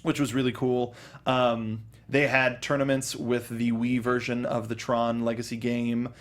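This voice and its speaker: Excitable man co-hosting a pop culture show. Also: medium pitch, unhurried. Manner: enthusiastic, rambling with fillers and restarts. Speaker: podcast co-host. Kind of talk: casual chat.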